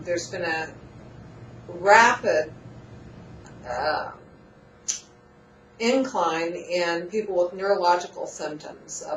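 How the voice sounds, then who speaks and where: A woman giving an explanatory talk in a halting, measured way, recorded at a distance in a roomy space. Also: on the low side. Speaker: speaker on health topics. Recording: roomy, distant mic, faint background noise.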